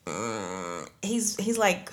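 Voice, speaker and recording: A woman's voice: very deep voice, huge pitch swings, drawn-out words. A woman with an American accent, chatting casually with friends as a podcast host. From Trinidad and Tobago, moved to the United States at four. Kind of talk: casual group chat.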